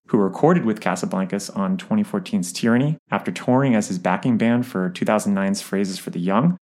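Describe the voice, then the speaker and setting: A man's voice: fairly low pitch, darkish tone. A man reading a scripted album review as narration.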